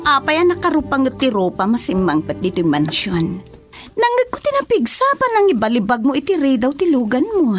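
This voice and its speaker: A woman's voice: sits high, sing-song, a little fast, soft background music. An actress playing a playful, coaxing woman in an Ilocano radio drama.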